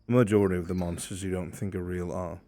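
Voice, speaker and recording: A man's voice: low-pitched, steady tone, warm tone, soft background music. Man playing a grave, commanding fantasy inquisitor. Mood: grave, measured. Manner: terse, measured, authoritative. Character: commanding, grave monster-hunting inquisitor, military superior. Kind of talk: improvised live fantasy roleplay acting.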